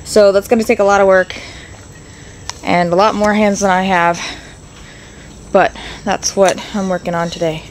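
A woman's voice: mid-range voice, level tone, moderate pace, pauses often, slight background noise. A young woman filming her barn on a handheld phone, talking casually and thinking aloud with plenty of ums as she walks around. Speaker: rabbit keeper vlogger. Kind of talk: casual vlog walkthrough. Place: straw-filled barn and yard, indoors and out. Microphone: handheld phone camera.

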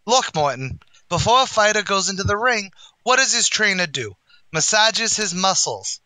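A man plays a bluffing, boastful tavern manager in old-time radio comedy style. His voice is high and very sharp, swooping through folksy, mangled-grammar patter. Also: sing-song, moderate pace, no room sound, exaggerated voice, low-quality recording. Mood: scheming. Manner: folksy, ungrammatical, bluffing wise-guy delivery. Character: blustering, boastful, uneducated tavern manager uncle.

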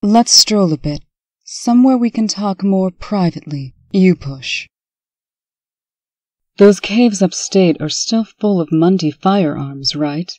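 Woman doing a reserved princess for a comic dub, mellow and dry sounding, formal, in charge. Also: mid-range voice, animated, takes it slowly, dry recording. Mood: businesslike. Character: reserved, authoritative fairy-tale princess, wounded but firm.

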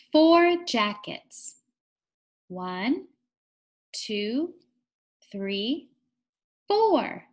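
A young woman reading aloud to small children in an encouraging, sing-song teacher's manner, guiding them along deliberately. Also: high voice. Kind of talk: interactive children's read-aloud lesson.

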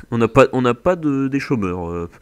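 A man's voice: mid-range voice, moderate pace, clean tone, no echo. A man from France hosting a co-op farming game session, chatting casually and joking with his co-player. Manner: relaxed, joking banter. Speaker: gaming channel host. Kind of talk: casual co-op gameplay chat.

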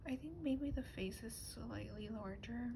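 A woman speaking softly and half-whispering in a casual ASMR show-and-tell, with a gentle, hushed delivery. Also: fairly high pitch, unhurried. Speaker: host of an ASMR doll video. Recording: clearly noisy recording with ambient hiss.

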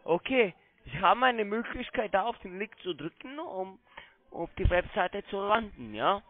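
Male telesales agent, non-native speaker, high-pitched, blunt and pressing, muffled telephone sound. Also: expressive, normal speed, no room sound, faint music behind. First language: not German. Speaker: phone sales agent. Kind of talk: pushy phone sales call. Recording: muffled phone line.

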